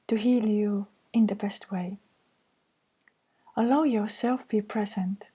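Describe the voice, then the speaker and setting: A woman with an Estonian accent, a native Estonian speaker, working as an anxiety counselor and reading course slides aloud in a gentle, soft-spoken way. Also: medium pitch, breathy edge.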